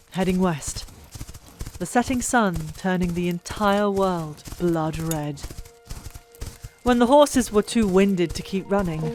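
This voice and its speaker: A narrator reading a story in a dramatic, expressive way, with drawn-out pauses for emphasis. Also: mid-range voice. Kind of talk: dramatic scripted audiobook narration. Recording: old mic, background music. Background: music.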